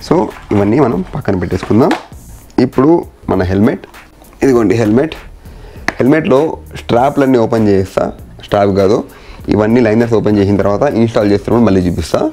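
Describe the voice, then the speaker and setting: Low-voiced mellow man, casual. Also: fast, long pauses, soft background music. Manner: informal, mixes in English words and phrases. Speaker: motorcycle vlogger. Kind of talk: casual hands-on installation walkthrough.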